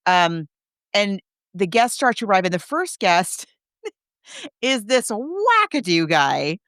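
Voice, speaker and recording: Female podcast co-host, smooth clear voice in a dry, close sound, chatty and animated, recapping a story casually. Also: medium pitch, slow, no room sound.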